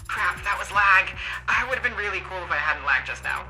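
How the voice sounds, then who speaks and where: A woman voicing a brash, flamboyant, chatty female android court adjutant in a video game, loud and theatrical. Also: medium pitch, expressive, brisk, soft background music, pitched above the performer's own voice, poor audio. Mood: cocky, playful. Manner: loud, theatrical, swooping, playful. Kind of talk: video game character voice acting.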